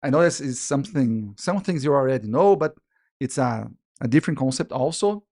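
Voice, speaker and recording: A man with a Brazilian Portuguese accent, a native Portuguese speaker, teaching a live online masterclass. He talks in an animated way, restarts his sentences often and keeps checking in with "yeah?" and "guys". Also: mid-range voice, fairly quick, creaky. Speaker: illustration business teacher.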